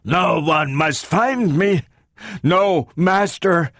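Man voicing a harsh, halting rogue robot, pitched high. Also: fairly bright tone, no echo, studio quality, full character voice. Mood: menacing. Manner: halting, speaking in spurts. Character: rogue male robot, proud, tormented, menacing, unraveling. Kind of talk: dramatic audiobook character reading.

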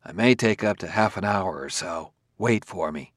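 A man voicing a terse, uneasy male detective in an audiobook, curt and low-key, sometimes mumbling. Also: animated, moderate pace, no room sound, clean studio sound. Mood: hushed warning. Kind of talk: audiobook character voice.

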